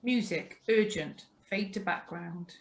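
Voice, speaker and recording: A woman's voice: lowish voice, slow. A woman reading out sound and music cues from a script during a video call drama reading. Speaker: stage-direction reader.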